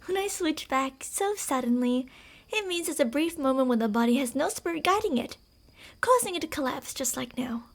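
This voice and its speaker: A woman voicing an obsessive female fox spirit in a borrowed human body, speaking in a calm, formal, quietly manipulative way that dips into whispers. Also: high voice, moderate pace. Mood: devoted. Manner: soft-spoken, dipping into whispers. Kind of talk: ASMR roleplay.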